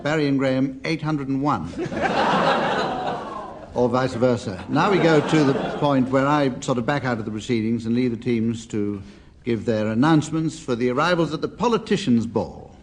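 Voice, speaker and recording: A British man hosting a comedy panel game in a dry, halting manner. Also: medium pitch. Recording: noisy old broadcast recording.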